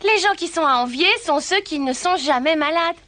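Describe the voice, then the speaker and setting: A woman's voice: sits high, normal speed, a bit bright. A woman voicing a frank, cheerful tomboyish teenage girl in a cartoon who has a cold. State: stuffy with a cold.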